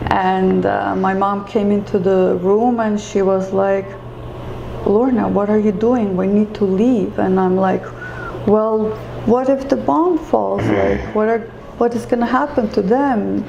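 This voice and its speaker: Slow, gravelly woman in an echoey room. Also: medium pitch, fairly even intonation, muffled recording. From Croatia. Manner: halting and reflective, many fillers and restarts. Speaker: interview guest. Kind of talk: personal story in an interview. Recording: echoey room with faint ambient noise.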